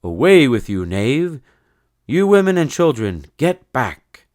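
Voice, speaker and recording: A man's voice: mid-range voice, sing-song, slow pace, darkish tone, no echo, clean studio sound, pitched above the performer's own voice. A man voicing a brave, commanding knight in a children's storybook, declaiming heroically. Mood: commanding, defiant.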